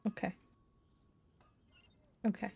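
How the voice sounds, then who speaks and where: A woman's voice: takes it slowly, darkish tone, lo-fi sound. A woman livestreaming a video game, chatting casually to her viewers with playful, jokey asides. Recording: game music under the voice. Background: video game music.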